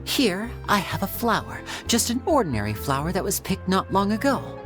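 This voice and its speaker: A man's voice: high-pitched, sing-song, moderate pace, over music. An actor playing a suave, confident young male stage magician with a polished, showman-like delivery. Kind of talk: video game dialogue.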